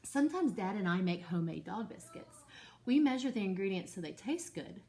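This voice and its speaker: A woman's voice: medium pitch, big pitch swings, brisk, mellow. A woman teacher reading a picture book aloud to her second-grade class and stopping to explain it patiently.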